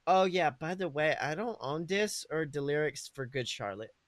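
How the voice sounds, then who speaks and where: A man's voice: on the high side, expressive, moderate pace, no echo. A man co-hosting a podcast, reading a story aloud in a theatrical, mock-earnest way. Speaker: podcast co-host. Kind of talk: comic dramatic read-aloud of fan fiction.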